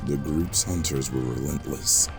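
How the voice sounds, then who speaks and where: Super deep guy narrating a fantasy audio drama, scripted dramatic read, music behind him. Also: a little slow, vocal fry.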